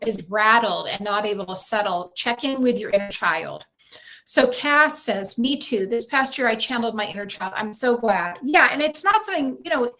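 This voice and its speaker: A woman hosting a casual tarot livestream, talking warmly to viewers and reading out their comments, with frequent fillers and restarts. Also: on the high side, poor audio.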